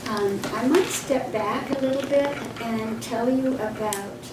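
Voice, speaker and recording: A woman in her sixties, born in Poland with Polish as her first language, telling a halting, reflective childhood story in an interview. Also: a little high. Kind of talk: oral history recollection. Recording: echoey room, distant mic, background noise.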